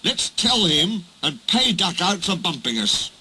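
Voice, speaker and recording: A man's voice: high-pitched, big pitch swings, a little slow, bright, forceful tone, a little hiss or hum, voice pushed up, heavily acted, rough recording. A man voicing a group of cheeky, rude goods trucks that sing and jeer. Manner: jeering, sing-song.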